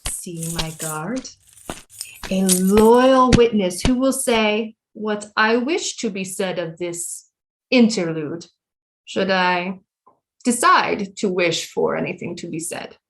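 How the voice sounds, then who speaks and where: A woman's voice: medium pitch, rough recording. Woman acting imperious, scheming queen mother. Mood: sly, menacing. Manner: grandiose, formal, deliberate period speech. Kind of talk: theatrical audio drama acting.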